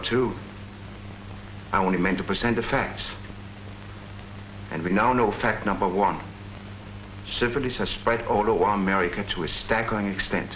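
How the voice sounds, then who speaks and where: An actor playing an earnest army colonel who presents public health facts in a declamatory, rhetorical way, in the style of a 1940s education film. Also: male voice, lowish voice, a bit bright. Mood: impassioned. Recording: muffled, noisy 1940s film soundtrack.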